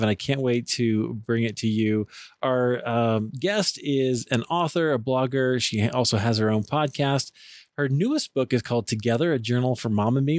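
A man's voice: medium pitch, dry recording. Male podcast host from Iowa, easygoing and chatty; dry close mic, faint music underneath. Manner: friendly, relaxed, with fillers. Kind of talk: podcast hosting and interview.

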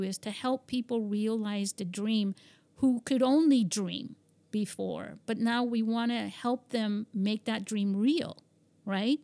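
A woman's voice: a little high, a little mellow. A woman from Spain hosting an interview, rambling with many fillers and restarts. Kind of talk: conversational interview.

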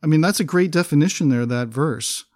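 A man's voice: fairly high pitch, fairly quick, a little mellow, no room sound, studio quality. A man co-hosting a conversational Bible study podcast, talking with enthusiasm and reading scripture aloud. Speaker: podcast co-host.

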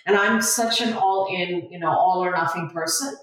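A woman's voice: on the low side, moderate pace, a bit bright. A young woman from India, a guest in a casual interview, talking earnestly with frequent "you know" fillers. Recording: very echoey room, far from mic.

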